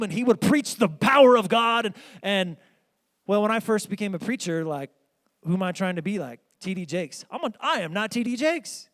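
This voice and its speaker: A male preacher with a high, squeaky voice delivers a sermon. He talks in an earnest, casual way and retells the story in plain words, full of "like" and "kinda". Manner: earnest, conversational storytelling with frequent "like".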